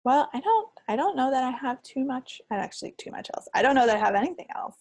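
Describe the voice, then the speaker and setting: A woman's voice: high-pitched, very animated, fast talker, a little mellow, no room sound. An American woman chatting casually as a podcast co-host. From United States. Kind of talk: casual chat.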